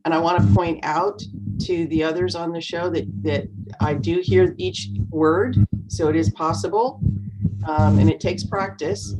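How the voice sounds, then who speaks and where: Low-voiced woman coaching an online English class. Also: fairly even intonation, measured pace, very noisy. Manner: encouraging, explanatory, acts out nervous voices. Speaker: co-host and speaking coach. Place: video call.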